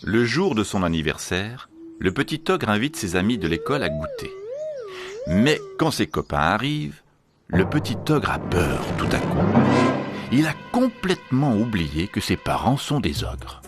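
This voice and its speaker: A man telling a children's storybook tale in an expressive, theatrical way. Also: fairly low pitch, unhurried. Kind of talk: animated children's storybook narration. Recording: music behind the voice. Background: music.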